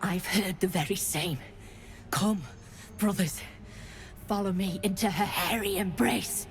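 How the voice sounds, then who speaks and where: A woman's voice: mid-range voice, unhurried, faint music behind, close to an everyday voice. A woman voicing a zealous giant spider in a video game, preaching solemnly and fervently. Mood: solemn, rousing.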